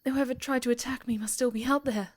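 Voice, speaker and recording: A woman acting an expressive, higher-voiced dramatic young woman. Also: fast, slightly rough. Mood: panicked, frantic. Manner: stammering, expressive, dramatic delivery. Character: friendly young woman camper turned panicked, secretly sinister stalker. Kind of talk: ASMR roleplay audio drama.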